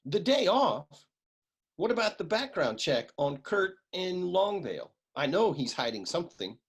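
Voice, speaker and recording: A world-weary private eye played by a man in a comic noir radio play. His voice is husky and slightly high for a man, with very animated intonation. He grumbles and cracks crude, cynical jokes. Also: normal speed, full character voice. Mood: exasperated.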